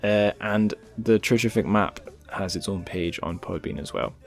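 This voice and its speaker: A male podcast host with a fairly low voice, easygoing and a little rambling as he chats. He slips in dry little jokes. Faint music sits in the background. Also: unhurried, gravelly. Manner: relaxed, rambling, light joking. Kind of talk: casual podcast chat and plugs.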